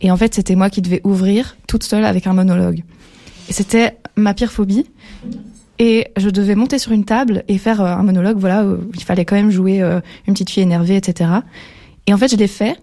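A young woman with a mellow, warm voice tells a personal story in a student radio chat. Her pitch sits in an average range, and she speaks earnestly and steadily. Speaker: workshop participant. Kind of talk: casual storytelling.

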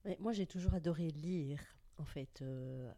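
A woman's voice: deep voice, measured pace, dark tone. A woman, chatting and reminiscing in a round-table discussion.

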